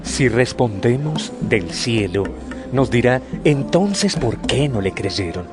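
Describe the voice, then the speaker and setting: An actor playing a calculating temple elder with a guarded, deliberate manner in a scripture audio drama. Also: male voice, mid-range voice, big pitch swings. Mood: wary scheming. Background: music.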